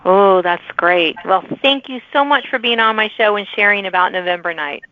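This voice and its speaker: A woman's voice: mid-range voice, normal speed. A woman hosting a podcast, interviewing guests in a conversational way. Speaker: podcast host. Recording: dry, slightly dull lo-fi audio.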